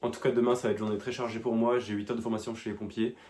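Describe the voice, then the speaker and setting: A man's voice: fairly low pitch, little pitch movement, very fast, a little mellow, slight background noise. A young man talking casually to his phone camera, rambling with many fillers and restarts, stuffy from a cold. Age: young adult. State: congested with a cold. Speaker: vlogger. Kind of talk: casual vlog diary. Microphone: phone held facing him.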